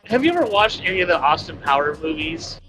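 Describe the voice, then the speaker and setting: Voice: medium pitch, very animated, quick pace, fairly bright tone, soft background music, poor audio. A friend chatting casually over a call while driving, giggly and rambling. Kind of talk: casual chat. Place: in a car, driving.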